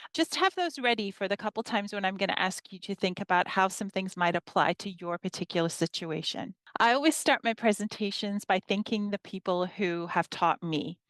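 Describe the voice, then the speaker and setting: A woman in her fifties from northern England, a history professor giving a measured workshop presentation to educators and reading her slides aloud. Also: mid-range voice, fairly bright tone, dry recording.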